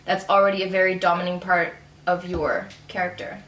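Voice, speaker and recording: A woman's voice: on the low side, level tone, a little fast, fairly bright tone. A young woman chatting casually as a guest, reflecting informally on her own experience. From born in Dubai, moved around often. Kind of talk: casual conversational discussion. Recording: echoey room with some background noise.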